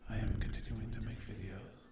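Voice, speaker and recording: A man with a lowish voice gives a soft-spoken ASMR update to his viewers. He is hesitant and often drops into a whisper. The room echoes and there is heavy noise over the recording. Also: flat delivery, a little fast. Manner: hushed, often slipping into whisper. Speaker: ASMR creator addressing viewers.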